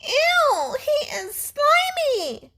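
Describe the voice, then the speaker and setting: An excitable little schoolgirl from a storybook, played by a woman reading aloud. The voice is pitched very high and shrill, squeamish and exclamatory. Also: drawn-out words, full character voice. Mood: disgusted.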